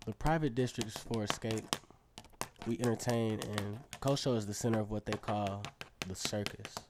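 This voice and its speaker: A man's voice: lowish voice, steady tone, moderate pace, noisy background, close to an everyday voice. An actor playing an earnest, proud orphan acrobat boy narrating his own story in a radio drama. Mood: reflective, boastful. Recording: music playing behind.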